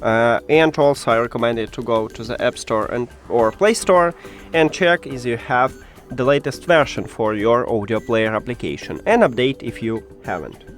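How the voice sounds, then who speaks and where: A man presents a how-to tech guide in non-native English. His mid-range voice moves up and down in a lively way as he instructs the viewer step by step. Music plays faintly behind him. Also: mid-range voice, normal speed. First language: not English. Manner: instructional, addresses the viewer directly.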